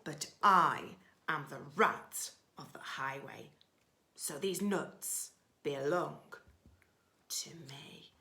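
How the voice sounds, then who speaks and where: A woman voicing a boastful, greedy male rat highwayman from a rhyming storybook, with loud theatrical commands. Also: extremely slow, gravelly, heavily acted. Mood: snarling, demanding. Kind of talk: rhyming children's storybook villain.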